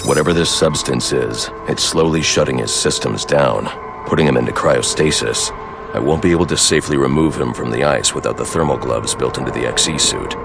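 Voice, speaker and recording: A video game vigilante, grim and terse. The voice sits extremely low and is full of gravelly fry. Each line is deliberate and menacing, with music playing behind it. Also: male voice. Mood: grim, focused. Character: grim adult male masked vigilante detective.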